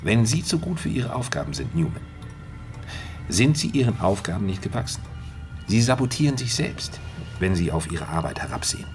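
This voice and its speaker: Very deep, insinuating studio psychologist. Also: male voice, sing-song, over music. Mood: quietly menacing. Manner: measured, deliberate phrasing with weighty pauses. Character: smooth, controlling male studio coach and psychologist, insinuating mentor. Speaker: actor in a radio drama. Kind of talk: German radio drama acting.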